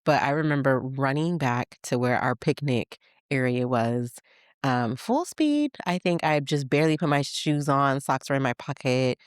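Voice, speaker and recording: A woman from Michigan hosting a podcast, telling childhood stories in a chatty, animated way and laughing as she goes. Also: extremely low pitch, normal speed, smooth, no echo, clean studio sound. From Michigan, USA. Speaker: podcast host. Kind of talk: casual personal storytelling.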